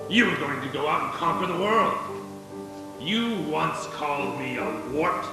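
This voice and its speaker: Guy doing mean old radio play banker, gravelly and sneering, echoey with music behind. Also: a little high, exaggerated voice. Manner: contemptuous, drawn-out taunting. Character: cold, sneering old male banker, cruel and gloating. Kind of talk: live 1940s-style radio play acting.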